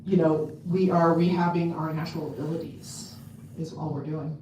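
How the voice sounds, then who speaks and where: A woman with a loud voice giving a casual talk to a convention audience, chatty and full of ums and restarts. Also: deep voice, steady tone, normal speed, slight background noise. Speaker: convention speaker. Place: convention hall. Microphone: handheld mic on a stand. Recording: echoey hall, mic at a distance.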